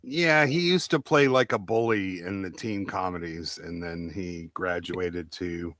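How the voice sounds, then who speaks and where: A man chatting casually as a panellist on a pop culture show, thinking aloud in halting phrases. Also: sits low, fairly bright tone, no room sound. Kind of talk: casual chat.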